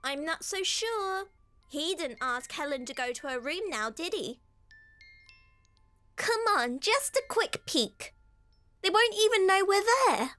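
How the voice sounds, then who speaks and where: Creepy little doll girl, super high voice, coaxing and scheming, radio horror play. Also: very animated, unhurried, long pauses, pushed and bright, no echo, soft background music, heavily acted. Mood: sly, coaxing. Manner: coaxing, insinuating. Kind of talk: radio horror drama acting.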